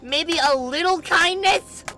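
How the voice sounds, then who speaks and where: A woman's voice: very high voice, takes it slowly, bright, forceful tone, soft background music, pitched above the performer's own voice, heavily acted. A woman voicing a furious, protective older sister, scolding with cutting sarcasm and emphatic stress.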